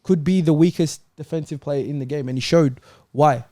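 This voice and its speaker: A man's voice: a little high, fairly quick, warm tone, dry recording, clean studio sound. A man in a casual sports debate, arguing and questioning insistently as a panellist. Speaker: football talk show panellist.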